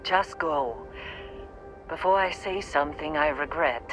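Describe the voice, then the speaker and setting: An actress playing a gruff, short-tempered woman mining boss in a video game, blunt and exasperated. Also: sits low, measured pace, a bit bright, soft background music. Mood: grudging, resigned. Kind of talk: video game cutscene acting.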